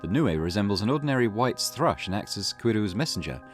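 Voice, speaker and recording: A man's voice: on the low side, very animated, fast talker. A man hosting a gaming video, reading game text aloud with casual commentary. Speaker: gaming channel host. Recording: music behind the voice. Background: game music.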